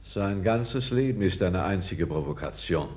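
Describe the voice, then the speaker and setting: An actor playing a calm, candid foreign court councillor, speaking with measured, deferential gravity in a 1960s radio play. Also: male voice, low-pitched. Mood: reflective, pointed. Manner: measured, grave, deferential yet frank.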